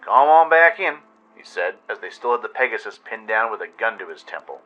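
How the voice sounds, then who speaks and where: A man's voice: on the low side, fast, dull audio. A man reading a dramatic scripted adventure story as narrator. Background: music.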